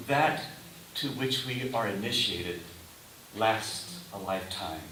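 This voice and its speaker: A man delivering a sermon in a deliberate manner, in short phrases with pauses. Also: lowish voice, sharp tone. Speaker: preacher. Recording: roomy echo, distant mic, faint hiss.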